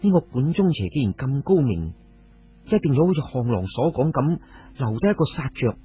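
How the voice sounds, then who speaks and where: A man's voice: mid-range voice, sing-song, moderate pace, breathy edge. Man playing thoughtful noblewoman, phone-like sound. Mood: wary. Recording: phone-like, muffled audio with music.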